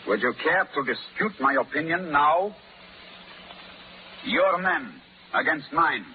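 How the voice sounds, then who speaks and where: An actor playing a menacing, mock-courteous French pirate captain in 1930s radio style. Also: male voice, fairly high pitch, animated, slow pace. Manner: theatrical, mock-courteous, with foreign phrasing. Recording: scratchy, tinny 1930s radio recording.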